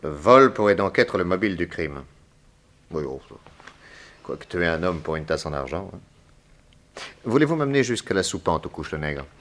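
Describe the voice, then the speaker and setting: An actor playing a calm, methodical detective in a 1960s French radio drama, probing with deliberate courtesy. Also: male voice, deep voice. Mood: reasoning. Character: calm, methodical male detective questioning a witness.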